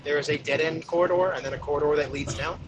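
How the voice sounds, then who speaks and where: A man's voice: on the high side, steady tone, quick pace, rough recording. A man chatting casually with a group as a player in a tabletop game. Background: loud music.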